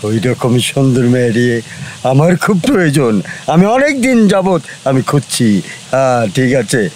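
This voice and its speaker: A man's voice: medium pitch, big pitch swings, moderate pace, darkish tone, a little hiss or hum, close to an everyday voice. A man playing a scheming, lecherous village occultist in a melodramatic tale, commanding and theatrical.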